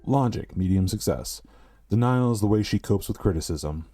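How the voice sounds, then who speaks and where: A man with a deep voice casually commenting on a game as he streams, reading its text aloud between asides. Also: lively intonation, moderate pace, mellow, a little hiss or hum. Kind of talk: casual let's-play commentary.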